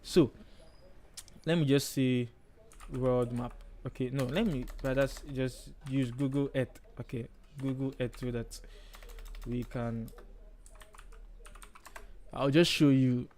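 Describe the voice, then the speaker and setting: A man's voice: medium pitch, steady tone, slow pace, pauses often, darkish tone, a little hiss or hum. A man from Ghana with a Ghanaian accent, hosting a casual live design stream and chatting as he works, often checking in with "okay". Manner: chatty, thinks aloud, frequent okays. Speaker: livestream host, designer.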